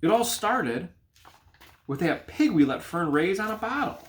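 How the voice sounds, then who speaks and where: A man's voice: high voice, very animated, measured pace, slight background noise, pitched above the performer's own voice. A man voicing a worried, puzzled mother of a young girl in a storybook, fretful and questioning.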